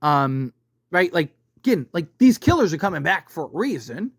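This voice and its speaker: A man's voice: on the high side, very animated, moderate pace, breathy edge, no echo, clean studio sound. A man giving casual, unscripted movie commentary as a video host, rambling and often checking in with the listener by tagging his sentences with a question.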